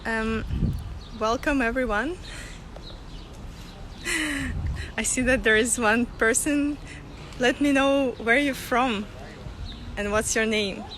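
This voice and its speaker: A young woman from Russia leading a live-streamed walking tour outdoors, talking loosely and laughing often. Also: a little high. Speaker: tour guide. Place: sunny city streets outdoors. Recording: phone audio with street noise.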